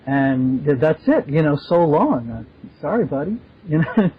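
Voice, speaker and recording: A man's voice: on the high side, sing-song, measured pace, a little hiss or hum. A man talking casually in a panel discussion, often restarting his phrases. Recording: dull, band-limited lo-fi audio.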